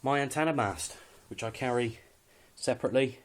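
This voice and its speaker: A man's voice: medium pitch, a little fast. A man with a British accent casually walking through his kit, unscripted, in an understated, matter-of-fact and slightly hesitant way. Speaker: hobbyist presenter showing his kit. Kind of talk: casual unscripted gear walkthrough.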